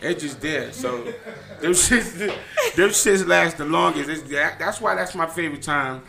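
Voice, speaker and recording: A man's voice: a little high, unhurried, gravelly, a crowd in the background. A young man co-hosting a podcast, talking bluntly and animatedly in casual slang. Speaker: podcast co-host. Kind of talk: casual chat.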